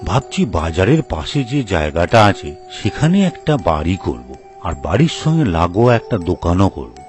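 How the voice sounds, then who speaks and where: A man's voice: deep voice, very animated, moderate pace, a little mellow, faint music behind. A man playing a scheming, self-interested married elder brother in a folk tale, with a sly, persuasive manner. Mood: calculating. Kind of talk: acted dialogue for a story video.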